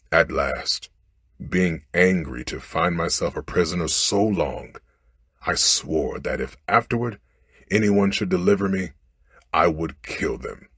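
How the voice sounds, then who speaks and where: A menacing storybook genie, played by a man, speaks low and very husky. He makes stern, commanding pronouncements in archaic language, unhurried and close in a dry recording. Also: a bit bright, no room sound, heavily acted. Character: stern, ungrateful, menacing genie freed from long imprisonment. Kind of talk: storybook character voice.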